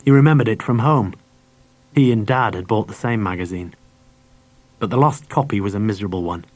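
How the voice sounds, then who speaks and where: A man's voice: on the low side, fairly quick, no echo. A man reading a children's story aloud as a scripted audiobook narration. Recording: dry cassette audiobook transfer.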